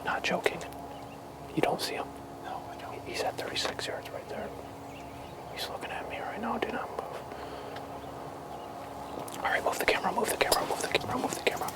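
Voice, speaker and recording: A hunter giving hushed, breathless whispered commentary in the field. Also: medium pitch, fairly quick, pauses often.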